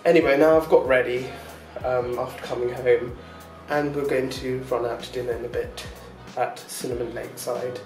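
A man's voice: medium pitch, fairly even intonation, normal speed, hardly any pauses, a little mellow, echoey room, distant mic, faint music behind. A man talking casually to his vlog audience, rambling and chatty with lots of ums. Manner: rambling, chatty, with many fillers and short laughs. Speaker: vlogger. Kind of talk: casual daily vlog chat.

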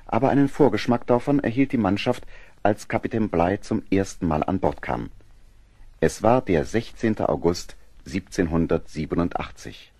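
A man narrating a historical adventure radio play in a measured storytelling manner. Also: sits low, dark tone, dry recording. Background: music.

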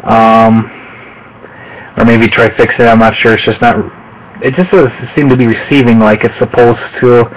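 A man narrating a casual handheld show-and-tell in a halting, rambling way, correcting himself as he goes. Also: medium pitch, fairly even intonation, highs cut off. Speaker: hobbyist video host. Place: small room at home, indoors. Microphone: handheld camera microphone.

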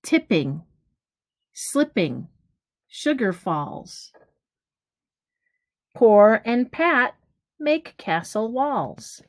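A middle-aged woman reading a children's picture book aloud, deliberately, pausing between phrases. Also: a little high, big pitch swings, no echo, studio quality. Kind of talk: children's storybook read-aloud. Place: small room at home, indoors. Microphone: webcam or device at arm's length.

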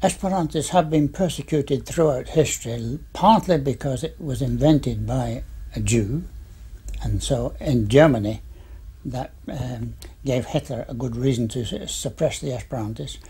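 Very husky, dark soft-spoken voice. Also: medium pitch, a little slow. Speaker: interviewee.

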